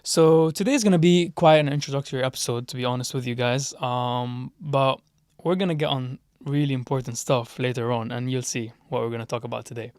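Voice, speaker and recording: A young Syrian man raised in Dubai hosts a podcast. He talks casually in a medium-pitched voice, as if among friends. The recording is dry, with little room sound. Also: fairly quick, a little mellow, no echo. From Dubai, Syrian family. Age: young adult. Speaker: podcast host. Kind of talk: casual chat.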